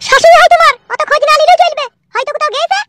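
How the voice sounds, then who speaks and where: A woman voicing an indignant, quarrelsome wife in comic cartoon dialogue, shrill and scolding. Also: rapid-fire, a bit bright, soft background music. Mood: irritated. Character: indignant, quarrelsome young wife defending her mother.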